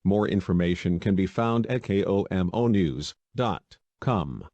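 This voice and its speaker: A man's voice: low voice, expressive, a little slow, warm tone, no echo, lo-fi sound. A man playing a local TV news anchor, reading a scripted, serious breaking-news bulletin. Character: male local TV news anchor reporting an attack.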